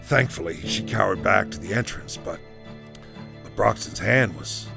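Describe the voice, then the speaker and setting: An actor playing a rattled soldier telling a halting, conversational first-person story in an audio drama, with a gravelly voice. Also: male voice, on the low side, sharp tone, soft background music, heavily acted. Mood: shaken, intense. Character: rattled male battalion soldier recounting a disturbing morning.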